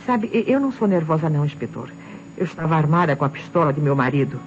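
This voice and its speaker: A woman's voice: deep voice, very animated, fast talker, a little hiss or hum. An actress playing an anxious, insistent married woman giving testimony to police, with a Brazilian accent and earnest old radio-drama delivery. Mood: earnest, nervous. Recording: dull vintage radio recording, faint music.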